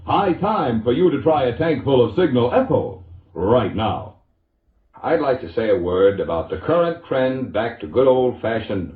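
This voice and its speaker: Roomy vintage radio adman, animated American announcer. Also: mid-range voice. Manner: polished, emphatic salesman delivery. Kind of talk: scripted 1940s radio advertising announcing. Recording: vintage radio recording, roomy echo, muffled lo-fi.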